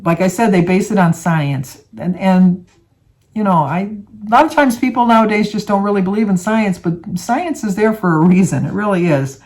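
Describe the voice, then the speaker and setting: A woman's voice: mid-range voice, moderate pace, a little mellow. Chatty woman in her seventies. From United States. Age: 70s. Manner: chatty, rambling, many fillers and restarts. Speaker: vlogger. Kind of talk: casual talk to camera.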